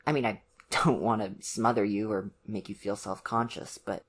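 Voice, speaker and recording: ASMR roleplay boyfriend, played by an actor: college-age, awkward and earnest, gentle, halting delivery, medium pitch. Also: fairly even intonation, natural voice. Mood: tender, earnest. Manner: hesitant, gentle, awkward, many ums and pauses. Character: ditzy, awkward, earnest young college boyfriend, caring and nervous. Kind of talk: ASMR roleplay, one-sided conversation with the listener.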